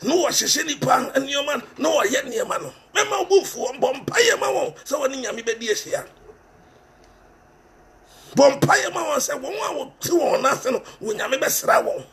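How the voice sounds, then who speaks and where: A middle-aged Ghanaian-accented preacher delivers a fervent sermon through a headset mic in a small room, his voice pitched very high and swinging widely. A gravelly fry runs through it, and he hammers his points home by repeating them. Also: a bit bright. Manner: impassioned, repeats phrases for emphasis, switches between English and Twi.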